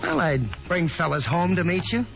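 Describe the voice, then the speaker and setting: A man's voice: a little high, fairly even intonation, moderate pace, gravelly. An actor playing an affable young working man with an American accent, stammering and eager to please in a 1950s radio drama. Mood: earnest, awkward. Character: affable, awkward young working man, earnest gentleman caller. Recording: muffled 1950s radio recording, music behind.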